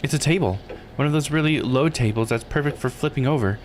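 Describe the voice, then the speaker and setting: A man's voice: medium pitch, quick pace, slight background noise. A man live-streaming a visual novel, reading text aloud and musing casually as he plays. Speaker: game streamer.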